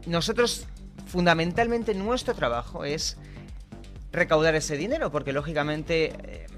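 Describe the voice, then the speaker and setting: A man's voice: on the high side, expressive, a little slow, a bit bright, faint music behind. A man from Spain with a Spanish accent, a guest in a studio interview, explaining things patiently and checking that he is understood. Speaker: guest, communications director. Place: studio table.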